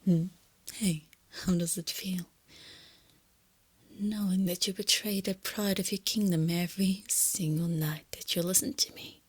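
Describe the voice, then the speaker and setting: A woman voicing a female lamia captor in an ASMR roleplay, soft and breathy, teasing her captive with smug, possessive tenderness. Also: lowish voice, fairly even intonation, unhurried, long pauses. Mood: whispered taunting. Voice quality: breathy, often dropping to a whisper. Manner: soft, taunting, condescending, intimate.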